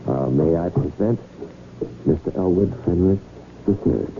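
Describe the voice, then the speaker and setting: A man's voice: sits low, a little slow, creaky. A man playing a fussy, persuasive con man in a 1970s radio drama, talking in an obsequious, ceremonious manner. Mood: urging, deferential. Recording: tinny, noisy old radio broadcast recording.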